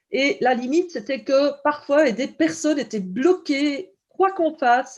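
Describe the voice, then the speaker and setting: A woman's voice: on the high side, darkish tone. Reflective Belgian woman in her fifties. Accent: Belgian French. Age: 50s. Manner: reflective, many hesitations. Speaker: guest, kinesiology coach. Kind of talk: interview answers.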